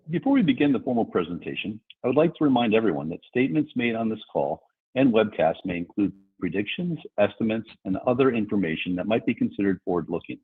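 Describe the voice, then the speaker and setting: A man's voice: fairly low pitch, breathy edge. A man in investor relations formally reading a scripted corporate statement. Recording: tinny phone-like conference line.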